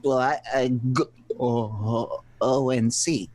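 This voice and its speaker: Man putting on a flustered, very slow-talking pool player. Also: mid-range voice, fairly even intonation, darkish tone, dry recording. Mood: anxious, halting. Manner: halting, stammering, drawn-out delivery. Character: flustered, stammering pool player, a young man called kid. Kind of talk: live comedic radio play reading.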